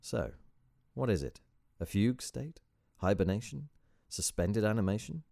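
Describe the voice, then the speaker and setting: A man's voice: lowish voice, animated, moderate pace, vocal fry, mellow, dry recording, clean studio sound, voice pushed up. A man playing a sceptical young male investigator in a story, with a wry, questioning manner. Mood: wry curiosity.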